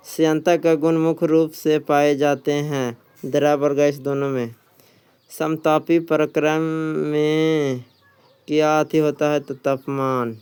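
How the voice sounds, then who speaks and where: A man's voice: fairly high pitch, darkish tone, clear, resonant tone. A man working as an exam coach, reading quiz questions and answers aloud in an instructional way, often repeating them.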